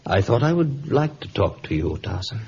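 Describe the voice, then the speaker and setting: A mellow, grieving, reclusive father. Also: on the low side, sing-song, normal speed, vocal fry. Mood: tender, sorrowful. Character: bereaved, reclusive father, gentle and sorrowful. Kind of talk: vintage radio drama acting. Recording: muffled, hissy old radio recording.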